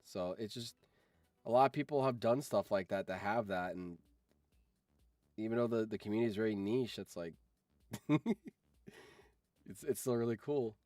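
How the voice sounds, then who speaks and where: A man's voice: fairly low pitch, unhurried, pauses often, a little mellow, no room sound, soft background music. A man chatting casually on a livestream as a video editor, rambling along and swearing freely.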